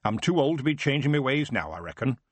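A man's voice: mid-range voice, very animated, quick pace, dry recording, pitched above the performer's own voice. A man voicing a very old, shrewd stable hand who talks in plain rustic dialect. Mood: wry.

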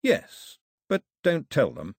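A man voicing an earnest, steady teenage island boy who is a modest young chief, speaking plainly. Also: a little high, slow pace, husky, no echo, clean studio sound, voice pushed up, heavily acted. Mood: earnest, calm.

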